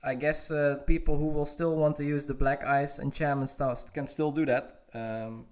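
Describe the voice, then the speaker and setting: A man's voice: on the high side, steady tone, moderate pace, a little mellow. A man, streaming a game and answering viewer questions in a casual, hesitant way with many fillers. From Netherlands. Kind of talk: casual livestream Q&A.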